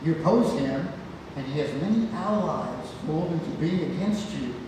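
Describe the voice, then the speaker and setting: A man's voice: a little high, expressive, moderate pace, lightly acted. A man playing a spirited old grandfather who tells adventure tales emphatically in a stage play read-through. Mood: spinning a tale. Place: indoor theatre, recorded from afar. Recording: roomy echoing hall, distant mic, noisy.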